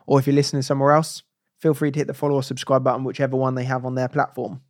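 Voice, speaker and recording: An upbeat man hosting a podcast in casual chat. His voice has a gravelly edge and sits at a middle pitch. The recording is dry and close. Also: completely flat delivery, rapid-fire, dry recording. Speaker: podcast host.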